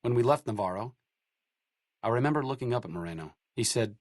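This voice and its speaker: A man's voice: mid-range voice, lively intonation, fairly quick, a little mellow, no echo, close to an everyday voice. An actor playing a bookish, dry-witted male doctor, speaking with sarcastic, literate quips in video game dialogue. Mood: reflective.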